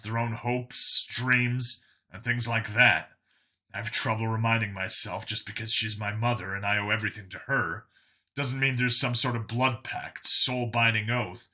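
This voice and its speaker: A man's voice: mid-range voice, steady tone, moderate pace, pushed and bright. A man voicing a tough human enforcer in an audiobook story, explaining himself in an earnest, blunt way. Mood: reflective.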